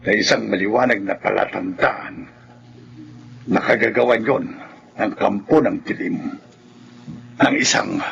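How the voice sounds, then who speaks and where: A man playing a grave village folk healer in a horror radio drama, speaking solemnly and deliberately with a gravelly voice. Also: lowish voice, background noise. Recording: dull sound, faint ambience behind.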